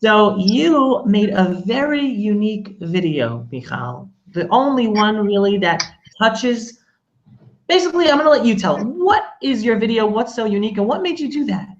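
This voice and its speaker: A woman's voice: mid-range voice, normal speed. Talkative woman host, lo-fi sound. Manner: lively and warmly talkative, jokes about losing her place. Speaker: interviewer host. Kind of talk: casual interview. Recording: dull lo-fi sound.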